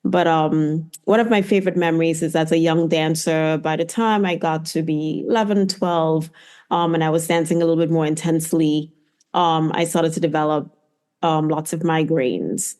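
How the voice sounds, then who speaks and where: A middle-aged woman from Trinidad and Tobago speaks as an interview guest. Her voice is low for a woman. She tells her story in a reflective, conversational style. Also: fairly even intonation, normal speed, a little mellow. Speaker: podcast guest, physical therapist.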